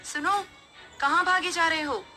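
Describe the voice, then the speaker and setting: A woman's voice: very high voice, steady tone, measured pace, music in the background, pitched above the performer's own voice. A woman voicing an eerie, alluring young woman in a horror cartoon, calling out insistently. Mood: beckoning. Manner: beckoning, insistent. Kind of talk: acted horror cartoon dialogue.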